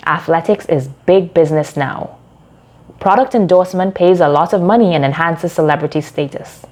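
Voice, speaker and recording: A woman narrating a short story from a script in a measured, dramatic storytelling delivery. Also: fairly low pitch, a little mellow.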